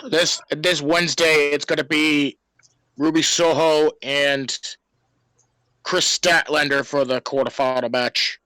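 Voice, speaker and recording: A man's voice: a little high, fairly even intonation, slow pace, bright, forceful tone, dry recording, low-quality recording. A man co-hosting a podcast, talking casually as he reviews wrestling. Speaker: podcast co-host.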